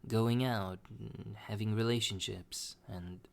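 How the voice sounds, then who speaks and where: A young man talking slowly and hesitantly in a personal confessional monologue, with many restarts. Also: fairly low pitch, fairly even intonation, gravelly, a little mellow. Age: young adult. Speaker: vlogger.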